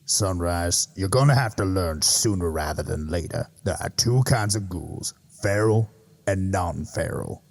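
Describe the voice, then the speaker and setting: Man acting a gravelly, low-voiced fatherly griffin mentor. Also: a little slow, heavily acted. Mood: grave, instructive. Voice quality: gravelly, husky. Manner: patient, instructive. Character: jaded fatherly adult male griffin mentor with a revolver. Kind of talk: audio drama acting.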